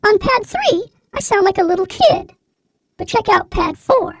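A little kid by a pitch-up voice transformer. The voice is squeaky and very high. The sound is dry and close. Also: male voice, sing-song, unhurried, gravelly, a bit bright, dry recording, exaggerated voice. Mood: plain. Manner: demonstrating a product.

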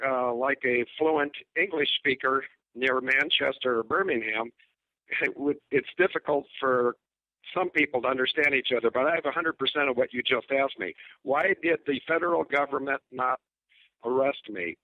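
An older man with an Upper Midwest American accent speaks over a thin, tinny cell phone line. His voice sits at an ordinary middle pitch, dry and close. He talks emphatically and deliberately, tossing in mocking asides. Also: no room sound. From United States. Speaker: guest. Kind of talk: phone-in interview.

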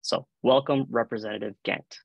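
A man's voice: medium pitch, fairly even intonation, moderate pace, vocal fry, slightly rough, no room sound, highs cut off. A man with a Cuban accent moderating a panel over a video call, formally reading out prepared introductions. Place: indoor room on a video call. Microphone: video call microphone.

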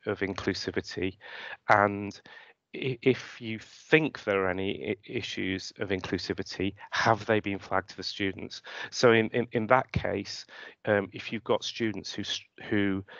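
A man's voice: lowish voice, fairly bright tone, no echo. A middle-aged man answering conference questions over a video call, hesitant and self-deprecating, with many restarts. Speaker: keynote speaker. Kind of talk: conference talk and Q&A. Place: home room on video call. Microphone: headset. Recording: muffled lo-fi video call audio.

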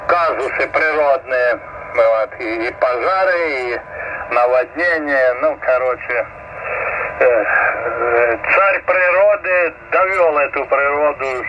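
A middle-aged or older man chatting in a friendly, rambling way over amateur shortwave radio. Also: mid-range voice. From Ukraine. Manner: chatty, hesitant fillers, friendly. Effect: shortwave radio transmission. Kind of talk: casual ham radio chat. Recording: muffled, noisy shortwave radio reception. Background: radio static and noise.

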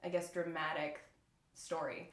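A woman with a slightly low voice chats in an informal, hesitant way as part of a roundtable. The recording is raw, with noticeable room echo. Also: flat delivery, measured pace, a bit bright. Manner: informal, hedging, peppered with "like". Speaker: voice actress on a podcast panel. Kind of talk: casual roundtable chat. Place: room, group around a table.

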